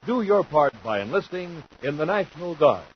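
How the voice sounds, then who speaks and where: A man announcing and narrating in emphatic, deliberate 1950s radio style, scripted and dramatic. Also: a little high, sing-song. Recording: dull 1950s radio recording, faint noise.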